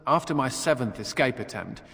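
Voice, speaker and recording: A man's voice: medium pitch, animated, fairly quick, creaky, a bit bright. A man playing a wry, flippant young-spirited mage in a video game, sardonic and playful. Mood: wistful, wry. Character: flippant, wry male mage, restless escapee. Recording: reverberant hall with faint music.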